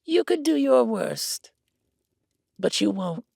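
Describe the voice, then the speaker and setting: A high, theatrical voice for a smug, calculating count in an audio drama. The delivery swoops widely and takes its time, savoring each taunt with grand self-assurance. The recording is close and dry. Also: male voice, vocal fry, a little mellow, no echo, exaggerated voice. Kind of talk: villain audio roleplay.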